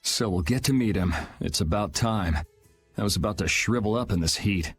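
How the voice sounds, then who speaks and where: A man's voice: on the low side, moderate pace, breathy edge. A man voicing a cool, protective young swordsman in a video game, casual and matter-of-fact. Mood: dry, confident. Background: background music.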